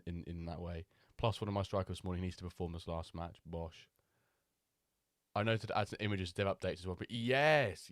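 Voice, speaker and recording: Low-voiced man, slightly nasal. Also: no room sound, studio quality. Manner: chatty, rambling, with many ums and restarts. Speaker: streamer hosting a watchalong. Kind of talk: casual live-stream chat.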